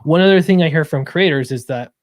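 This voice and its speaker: A man's voice: a little high, quick pace, dry recording, studio quality. A man in a casual panel discussion, earnest and agreeable, feeling his way through points with small self-corrections.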